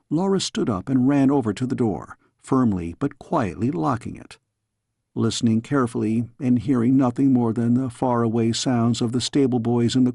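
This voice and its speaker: Guy narrating a fairy tale audiobook, raspy creaky voice, measured, really dry recording. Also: mid-range voice, dark tone, no room sound. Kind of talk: scripted storybook narration.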